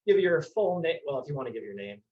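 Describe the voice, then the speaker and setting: A man's voice: on the high side, big pitch swings, fast, muffled recording. Chatty male interviewer on a call. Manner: chatty, explaining, frequent restarts. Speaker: host, interviewer. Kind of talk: casual video call interview.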